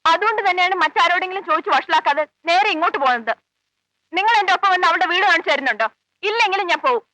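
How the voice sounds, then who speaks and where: An actress playing a jealous young wife who demands answers and hurls accusations in sharp, insistent lines in a 1980s film. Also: female voice, very high-pitched, lively intonation, rapid-fire, no echo, exaggerated voice, rough recording. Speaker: suspicious wife. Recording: dry 1980s film soundtrack, faint music.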